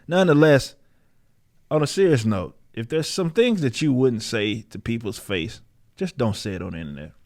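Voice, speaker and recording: A man's voice: mid-range voice, lively intonation, normal speed, darkish tone. Combative, sweary man hosting a podcast. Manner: combative, sweary, challenging, emphatic. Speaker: podcast host. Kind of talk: opinionated casual commentary on a live stream.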